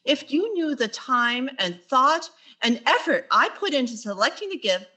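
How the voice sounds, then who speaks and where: Woman playing a bright, wry schoolteacher. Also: high-pitched, big pitch swings, full character voice. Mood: indignant. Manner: wry, theatrical, quick with wisecracks. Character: wry, flustered female high school English teacher. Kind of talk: 1940s radio sitcom acting on stage.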